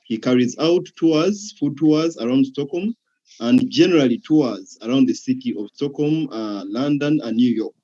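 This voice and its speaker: A man's voice: mid-range voice, normal speed, warm tone, lo-fi sound. A man hosting an interview show, speaking hesitantly with many fillers. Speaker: TV show host.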